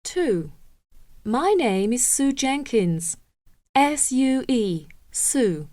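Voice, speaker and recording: Higher-voiced woman reading a lively script. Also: no echo, clean studio sound. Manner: slow, careful, clearly enunciated. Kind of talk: scripted textbook listening exercise reading.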